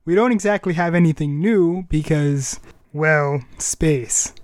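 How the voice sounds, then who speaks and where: A man's voice: a little high, slow pace, no echo. A man hosting a casual music and anime podcast, chatting in an enthusiastic, rambling way. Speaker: podcast host.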